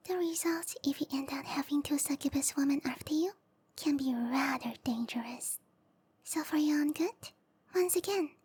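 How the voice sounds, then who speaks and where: High, whispering seductive matchmaker played by a woman. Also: darkish tone, lightly acted. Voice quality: breathy whisper. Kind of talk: whispered ASMR roleplay.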